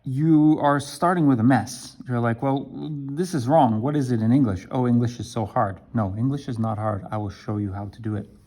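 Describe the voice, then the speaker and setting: A man teaching an English lesson to camera, talking slowly and deliberately and checking in with "right?". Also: mid-range voice, warm tone. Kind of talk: explaining a language lesson to camera.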